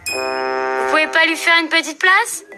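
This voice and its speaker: A woman voicing an earnest young boy in a cartoon, with loud music underneath. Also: very high voice, a little slow. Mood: coaxing. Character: earnest young boy who looks after animals. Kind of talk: cartoon dub acting.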